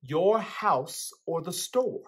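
A man's voice: high voice, lively intonation, takes it slowly, slightly rough, heavily acted. A young man with an American accent playing a guarded, streetwise teenage boy in a dramatic book reading. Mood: defensive. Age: young adult. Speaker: actor reading a character's dialogue. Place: indoors in a small plain room. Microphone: video call, close to computer.

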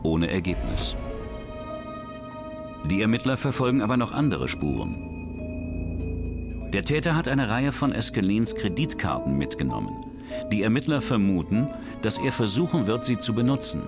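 A man giving scripted true-crime documentary narration in a measured, even way. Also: fairly low pitch. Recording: studio voice-over with music bed.

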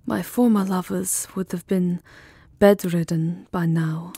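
A woman playing a tender, lovesick vampire countess in an intimate ASMR roleplay, speaking softly and often dropping into a whisper, with formal, wistful endearments. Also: lowish voice, steady tone, measured pace, creaky, husky, dry recording, heavily acted. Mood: grateful, melancholy. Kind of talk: ASMR roleplay, soft intimate romantic acting. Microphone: close microphone, dry. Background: music.

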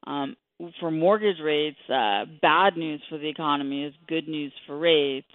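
A woman's voice: low-pitched, unhurried, dry recording. Middle-aged woman on a thin phone line. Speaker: guest mortgage expert. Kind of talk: radio interview, explanatory talk.

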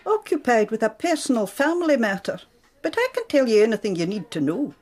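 An actress playing a guarded, proper clinic manager in a radio drama, formal and firm, with a British accent. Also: female voice, on the high side, big pitch swings, a little fast, darkish tone.

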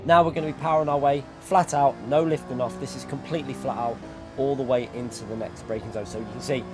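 A man with a medium-pitched voice hosts a racing game tutorial at a brisk pace. His voice is going from a cold as he explains each step in an instructional way. Faint music plays behind him. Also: steady tone. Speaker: sim racing YouTuber, host. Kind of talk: tutorial commentary over racing game footage.

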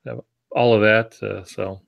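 Low-voiced older man teaching informally. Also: little pitch movement, unhurried, creaky, lo-fi sound. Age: 60s. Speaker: co-host and writing teacher. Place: small home office, on a video call.

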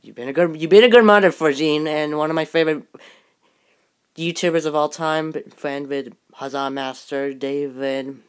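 A woman reacting to a video in excitable commentary, exclaiming and sometimes halting or stammering over words. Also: mid-range voice, clear, resonant tone. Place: bedroom, indoors.

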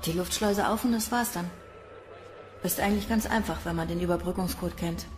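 An actress playing a cynical young space recruit in a radio drama, blunt, slangy and gossipy. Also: female voice, lowish voice, a little fast, noisy background, lightly acted. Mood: cynical gossip.

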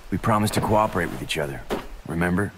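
An actor playing a gruff, reserved young male fugitive in a video game, speaking tersely. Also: deep voice, expressive, fast, background noise. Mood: dry, guarded. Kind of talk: video game cutscene acting.

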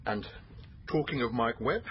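An actor playing a brisk, authoritative detective in charge of a murder inquiry in a naturalistic radio drama. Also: male voice, mid-range voice, animated, dull audio, noisy background, natural voice. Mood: brisk, commanding. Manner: businesslike, authoritative. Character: brisk male police detective boss running a murder inquiry.

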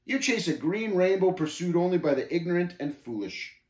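A man's voice: high-pitched, expressive, fairly quick, darkish tone, pitched above the performer's own voice. Man acting a haughty, sneering scoffer in echoey room. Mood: sneering, gloating. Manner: contemptuous, gloating, archaic speech, snapping. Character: haughty sneering scoffer named Shame, taunting pilgrims. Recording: roomy, echoing voice recording.

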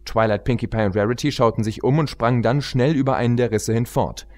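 A man's voice: medium pitch, fast talker, darkish tone. A man reading a story aloud as an audiobook narrator in a scripted narration style.

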